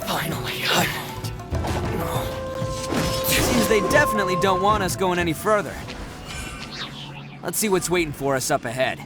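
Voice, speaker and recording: Casting: hot-blooded oni gang leader for a game, highish voice, wildly animated, slangy bravado, music underneath. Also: male voice, normal speed, long pauses. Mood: alert, searching. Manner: swaggering, slangy, theatrical. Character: brash, cocky male oni gang boss, loyal and hot-blooded.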